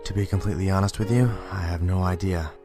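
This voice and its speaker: An actor playing a hot-tempered, frustrated police detective in an amateur radio drama. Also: male voice, sits low, fairly even intonation, quick pace, dark tone, soft background music. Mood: weary, serious. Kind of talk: amateur audio drama acting.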